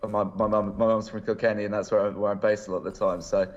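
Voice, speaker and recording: A fairly low-voiced English man joining a radio panel, answering with good humour and a self-deprecating manner. His voice comes through a dull, muffled line with the top end missing. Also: flat delivery, quick pace.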